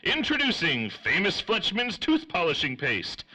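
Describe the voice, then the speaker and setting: An actor playing a showy, earnest 1930s radio announcer with a theatrical, emphatic sales delivery. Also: male voice, sits high, wildly swooping pitch, unhurried, pushed and bright, full character voice, low-quality recording. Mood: hearty selling.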